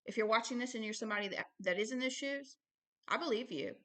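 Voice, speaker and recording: A woman with a fairly high, sharp and forceful voice speaks as a religious host. She moves informally between reading aloud and personal commentary. Her delivery is earnest and insistent, with key phrases repeated for emphasis. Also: quick pace.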